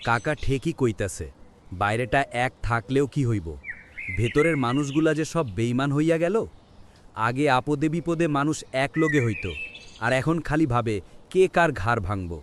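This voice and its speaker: A man's voice: mid-range voice, lively intonation, moderate pace, background noise, lightly acted. An actor playing a disillusioned, plain-spoken village man in a cartoon, with a rural Bangladeshi accent. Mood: bitter. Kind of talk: cartoon drama dialogue.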